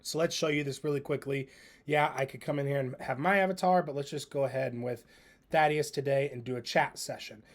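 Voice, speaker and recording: A man demonstrating software in a casual spoken tutorial. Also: medium pitch, fairly quick.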